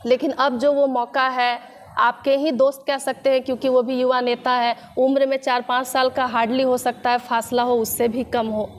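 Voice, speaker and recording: A woman with a clearly high voice conducts a political interview. She speaks as a news reporter, framing pointed questions to her guest. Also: flat delivery, normal speed, slight background noise.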